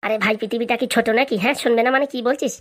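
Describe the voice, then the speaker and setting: A woman's voice: fairly high pitch, steady tone, rapid-fire, a bit bright. A woman voicing a kind, forgiving nephew in a comic cartoon, earnest and reassuring. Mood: dismissive, reassuring.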